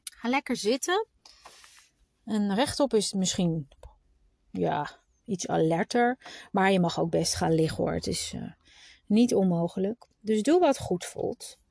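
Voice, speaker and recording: A woman leading a guided meditation in a soft, soothing, instructive manner, at times trailing into a breathy whisper. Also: mid-range voice, animated, no echo. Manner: informal, instructive, with unhurried pauses.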